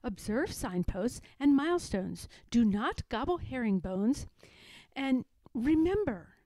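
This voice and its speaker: A woman's voice: a little high, sing-song, slow, mellow, no room sound, low-quality recording. A woman voicing a fussy, sighing mother sow who instructs and admonishes in a storybook read-aloud. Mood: flustered, stern.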